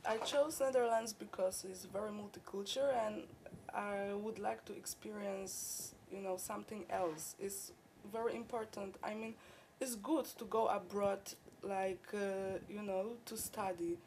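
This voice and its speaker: A woman's voice: mid-range voice, steady tone, measured pace, a little mellow, slight background noise, poor audio. A young woman from Poland with a Polish accent, answering interview questions as an international student, filling her talk with "like" and "you know.". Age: young adult. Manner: chatty, many "like" and "you know" fillers.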